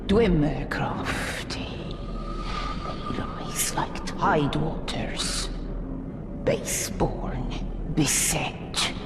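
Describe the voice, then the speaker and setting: A woman playing an ancient, mournful female forest spirit in a video game, with a breathy, whispery voice and cryptic, archaic phrasing. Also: deep voice, very noisy, heavily acted. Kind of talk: theatrical video game acting. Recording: voice under cinematic music.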